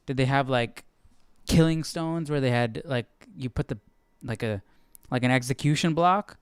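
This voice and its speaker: Clear, dry-sounding man thinking aloud. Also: mid-range voice, normal speed, dry recording. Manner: thinking aloud, self-questioning. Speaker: live stream Bible study host. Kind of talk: casual study commentary, reading aloud and reacting.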